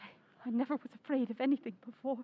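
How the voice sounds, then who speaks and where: A woman's voice: sits high, steady tone, fast talker, husky, narrow, tinny audio. A woman playing a bossy, scornful yet frightened wife, imperious and anxious, in old-time radio horror style. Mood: anxious dread.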